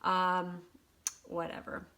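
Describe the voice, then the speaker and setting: A woman with a slightly worn, hoarse voice giving a casual general oracle card reading, swearing freely. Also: fairly low pitch, monotone, takes it slowly, sharp tone. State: voice going hoarse. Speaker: tarot reader.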